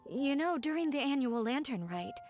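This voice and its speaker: Casting: a soft-spoken, polite young townswoman. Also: sits high, animated, normal speed. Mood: warm. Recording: game audio over music.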